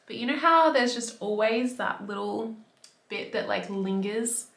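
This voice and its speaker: Young woman beauty vlogger from Australia, chatty and upbeat, a touch raspy from a cold, bright voice in an echoey room. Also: a little high, measured pace. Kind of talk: casual talk to camera. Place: bedroom by a window.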